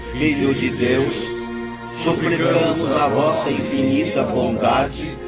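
A compassionate, fatherly spiritual leader played by a man in a radio play. He speaks very slowly and solemnly, in prayerful oratory, with music clearly behind him. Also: a little high. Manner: solemn, paternal, preacher-like. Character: compassionate, solemn male spiritist leader consoling lost souls.

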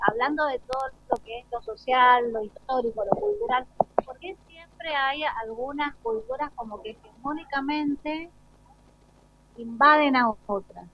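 A woman with an Argentine accent speaking spontaneously as an interviewed school director. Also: sits high. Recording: dull, lo-fi live broadcast sound.

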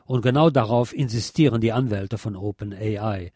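A man's voice: lowish voice, normal speed, a little mellow, no room sound. Man reading a journalistic essay, dry studio sound. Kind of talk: scripted narration of a journalistic essay.

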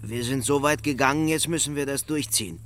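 A man's voice: mid-range voice, a little fast, fairly bright tone, lightly acted. A man acting in a German radio drama as a laconic, placid, loyal young man. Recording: faint mains hum behind.

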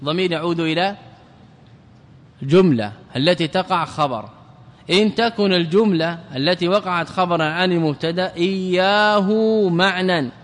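A man teaching an Arabic grammar lesson as a religious scholar. He questions his students, answers himself, and chants verse lines slowly. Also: high-pitched, fairly bright tone, big-room sound.

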